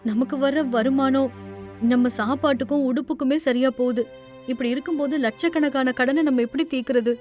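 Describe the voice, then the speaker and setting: Female narrator as caring village wife, plaintive, questioning, high voice, cartoon folk tale, music beneath. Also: fairly even intonation, brisk, darkish tone, lightly acted. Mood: worried. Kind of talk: animated moral story dialogue. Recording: music under the voice.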